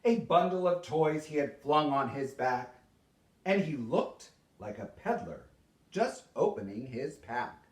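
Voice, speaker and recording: Male storyteller for theatrical verse, higher-pitched, unhurried and dramatic, recorded from a distance. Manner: dramatic, expressive storytelling with deliberate pauses. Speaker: storyteller reading a Christmas poem. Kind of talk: theatrical poetry recitation. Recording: roomy echo, distant microphone.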